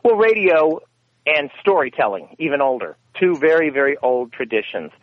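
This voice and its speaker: A man's voice: fairly high pitch, very animated, moderate pace, no room sound. A man giving interview answers, telling stories in a rambling way and correcting himself as he goes. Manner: rambling, self-correcting storyteller. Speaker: guest, radio theater founder. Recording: tinny phone line, dry.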